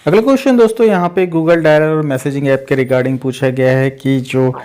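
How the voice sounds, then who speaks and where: Indian male tech host, slightly high pitch, soft mellow tone, conversational explainer delivery aimed at viewers. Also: fairly even intonation, moderate pace. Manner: conversational, addresses listeners as friends.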